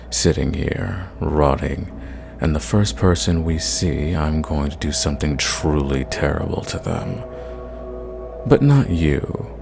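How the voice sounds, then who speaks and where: A man voicing a centuries-old sadistic vampire in an ASMR roleplay, possessive and condescending, savoring his words and sometimes dropping to a breathy whisper. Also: exaggerated voice. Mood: possessive menace. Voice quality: deep, gravelly.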